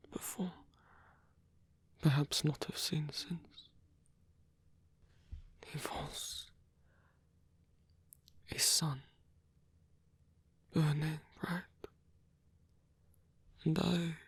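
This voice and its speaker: A performer playing a lonely old male vampire in an ASMR roleplay, tender and wistful, speaking in a breathy, husky whisper with halting delivery. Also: mid-range voice, no room sound, exaggerated voice. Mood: wistful, adoring.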